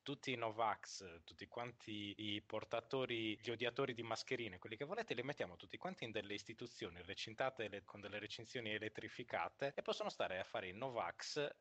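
A man's voice: lowish voice, a little fast, few pauses, a bit bright. Man chatting jokily on a podcast. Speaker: podcast co-host. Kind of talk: casual group podcast chat.

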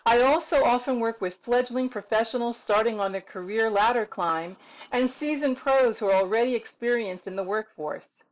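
A woman's voice: on the high side, low-quality recording. A middle-aged American woman from New York City giving an articulate, upbeat scripted introduction to her services as a tutor, recorded in a small room at home. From New York City, United States. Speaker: tutor and writing consultant. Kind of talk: scripted self-promotional introduction to camera.

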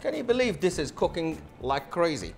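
High-voiced man, enthusiastic showman cook. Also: sing-song, normal speed, gravelly, over loud music. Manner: enthusiastic, showy, addresses audience as a showman. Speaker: chef hosting a cooking show. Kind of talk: cooking demonstration to camera. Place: indoor modern studio kitchen. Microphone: lapel mic.

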